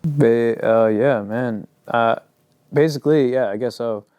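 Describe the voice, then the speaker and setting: A man's voice: mid-range voice, unhurried, mellow, smooth, no room sound. A young man, a rapper and singer, talking casually in an interview, rambling and filling his sentences with "like". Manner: loose and rambling, heavy on "like".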